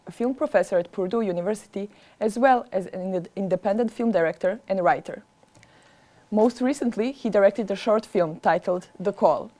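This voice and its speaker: A woman's voice: medium pitch, dark tone. A woman speaking as a television interview host in a polished, formal, scripted broadcast style.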